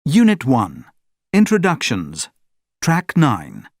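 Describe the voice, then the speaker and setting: A man announcing course audio tracks in a crisp, formal way. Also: mid-range voice, wildly swooping pitch, slow pace, no room sound, clean studio sound.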